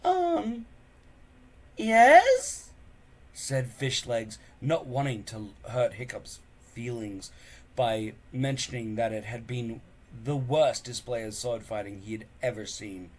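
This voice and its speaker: A man reading a children's novel aloud, halting now and then and stumbling over words. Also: medium pitch, steady tone, a little mellow. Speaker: story reader.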